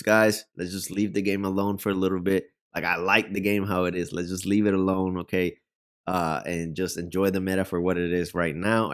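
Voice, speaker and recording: A man with a Puerto Rican Spanish accent and Spanish as his first language, chatting loosely about a fighting game as a reaction host. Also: deep voice. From Puerto Rico. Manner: chatty, rambling, uses many fillers. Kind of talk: casual gaming commentary.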